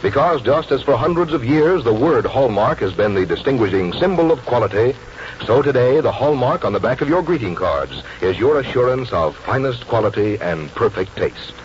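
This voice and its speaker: A man's voice: mid-range voice, lively intonation, moderate pace, a little hiss or hum. An American man reading formal, polished announcements and ads as a 1940s radio announcer. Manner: formal, polished sales delivery. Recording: muffled 1940s radio broadcast, music.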